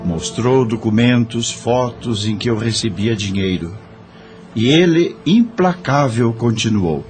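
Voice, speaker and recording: Man acting a remorseful judge, very animated. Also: medium pitch, unhurried, music in the background, lightly acted. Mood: remorseful, anguished. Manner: dramatic, sighing, confessional. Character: remorseful, once-proud corrupt judge, dead and confined. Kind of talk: audio drama monologue.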